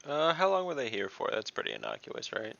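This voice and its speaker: A man's voice: mid-range voice, huge pitch swings, a little fast, vocal fry, pushed and bright, dry recording, muffled recording. A man playing a tabletop game, who asks wry, joking questions. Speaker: player. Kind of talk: casual tabletop play.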